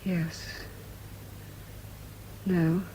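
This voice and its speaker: A brittle tormented british woman in an old radio play, really slow and intense, bit of hiss. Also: fairly low pitch, little pitch movement, mellow, rough recording. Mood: drained confession. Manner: intense, halting, theatrical delivery. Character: tormented, jealous woman poisoner, brittle and obsessive. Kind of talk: vintage British radio drama acting.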